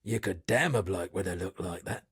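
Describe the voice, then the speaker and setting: Gravelly, theatrical shipwrecked sea captain. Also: male voice, sits low, moderate pace, no room sound, studio quality, full character voice. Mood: theatrical, rueful. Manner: florid, dramatic, alliterative declaiming. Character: eccentric male shipwrecked sea captain and pompous amateur poet. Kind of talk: theatrical video game character dialogue.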